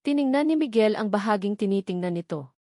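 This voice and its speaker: Lady narrator reading a drama audiobook, mid pitch voice, really dry sound. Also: animated, brisk, warm tone, dry recording. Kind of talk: dramatic scripted story narration.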